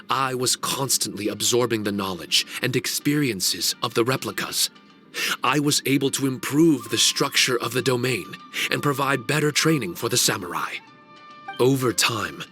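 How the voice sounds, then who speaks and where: A man voicing an ancient male guardian spirit in a video game cutscene, formal, measured and grave. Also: mid-range voice, sharp tone.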